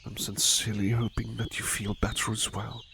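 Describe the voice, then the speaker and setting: A man playing a devoted, fussing servant in a soft-spoken ASMR roleplay, gentle and deferential, hesitating and repeating his reassurances. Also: lowish voice, little pitch movement, moderate pace, vocal fry, a little hiss or hum. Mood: caring, soothing. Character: devoted, fussing male servant tending a sick master. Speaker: roleplay performer.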